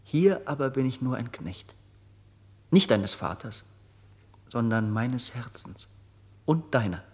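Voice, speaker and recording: A breathy, ardent young prince. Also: male voice, mid-range voice, expressive, takes it slowly, a little mellow, full character voice. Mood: solemn, sincere. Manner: lofty, solemn, fervent declarations. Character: noble, ardent, devoted young prince in love. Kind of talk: German radio drama acting.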